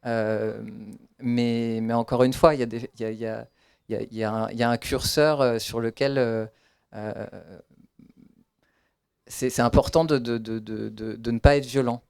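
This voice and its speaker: A man's voice: mid-range voice, steady tone, moderate pace, creaky, a little mellow, clear, resonant tone. A man, the author of the book, answering audience questions in a hesitant way with many fillers and restarts. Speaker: author presenting his book.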